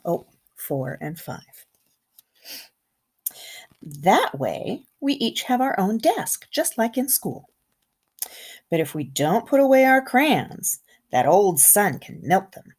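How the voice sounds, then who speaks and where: A woman kindergarten teacher reading a picture book aloud to young children in an expressive way, with simple asides and questions. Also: mid-range voice, husky. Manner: animated, simple wording, pauses to comment and question.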